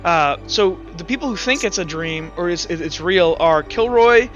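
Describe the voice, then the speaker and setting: Male game master, high-pitched, bright forceful tone, halting casual narration, thinking aloud. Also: expressive, lo-fi sound, soft background music. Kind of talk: narrating an online tabletop roleplaying game.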